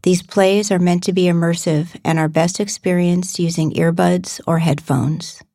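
A woman's voice: lowish voice, steady tone, normal speed, warm tone, dry recording, studio quality. A woman reading a scripted announcement as a program narrator.

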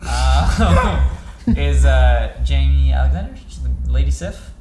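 A man's voice: medium pitch, animated, slow, creaky, dark tone. A man in casual banter, hesitant and rambling, stumbling over his words. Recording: echoey room with noticeable background noise.

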